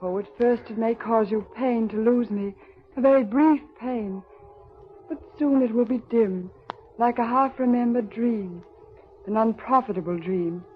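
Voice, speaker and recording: A woman acting a sorrowful young fiancée in a 1930s radio drama, measured, earnest and resigned. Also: on the high side, natural voice. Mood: tender, sorrowful. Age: young adult. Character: sorrowful young fiancée gently ending her engagement. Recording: tinny 1930s radio recording, faint hiss.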